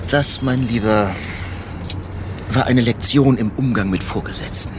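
An actor playing a wry, plain-spoken police inspector in a radio crime drama. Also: male voice, medium pitch, a little slow, lightly acted. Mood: wry, resigned. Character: male police inspector, wry, argumentative, protective of his team. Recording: clear outdoor and vehicle noise.

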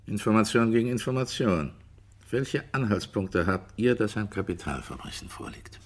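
Private detective, deep voice, dry and measured, probing, radio crime drama. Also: male voice, animated. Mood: guarded, thoughtful. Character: probing, stubborn, self-assured male private investigator.

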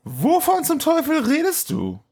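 A man's voice: extremely high pitch, huge pitch swings, a little slow, a little mellow, pitched above the performer's own voice, exaggerated voice. A man voicing a troubled, sarcastic young woman in a comic audio play, with a dramatic, incredulous manner.

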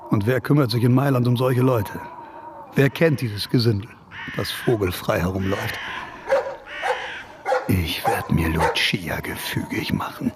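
A man's voice: on the low side, measured pace, pauses often, very noisy, close to an everyday voice. An actor playing an arrogant, scheming nobleman in a radio drama, with a sneering and commanding manner. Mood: contemptuous scheming. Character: arrogant, vengeful nobleman villain, scheming and contemptuous.

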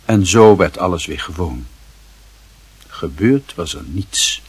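A man acting as the grave, reflective narrator of a literary radio play, husky and measured in his solemn delivery. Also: low voice, expressive, no echo, heavily acted. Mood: wry, reflective. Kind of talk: scripted dramatic narration.

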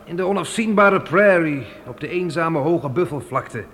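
An actor playing a determined pioneer farmer leading a wagon train in a radio play, earnest and declamatory. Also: male voice, fairly high pitch, animated, moderate pace, a bit bright. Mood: humble, troubled. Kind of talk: Dutch radio play acting. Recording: noisy old radio play recording.

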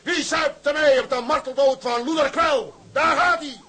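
A man playing a drunken, vengeful young ship's officer in a radio drama, loudly declaiming. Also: extremely high pitch, normal speed. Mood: drunken fury. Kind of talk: Dutch radio drama acting.

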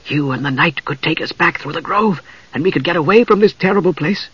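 Man putting on a high-voiced, spirited young woman. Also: animated, a bit bright, slightly rough, no echo, highs cut off. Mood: urgent, pleading. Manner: emotional, quick to flare.

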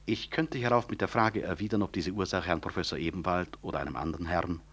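An actor playing an urbane hospital director in a German radio play, chairing formally with courteous, measured, dry irony. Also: male voice, low voice, fairly even intonation, a bit bright. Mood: composed irony. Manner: courteous, measured, dryly ironic.

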